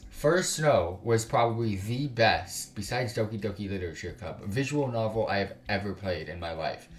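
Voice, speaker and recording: Male gaming streamer, low-pitched, informal and sweary, casual chat with viewers, echoey room sound. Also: expressive, normal speed. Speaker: live streamer, gaming channel host. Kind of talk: casual live-stream chat with game text read aloud. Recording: roomy room echo, music behind. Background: music.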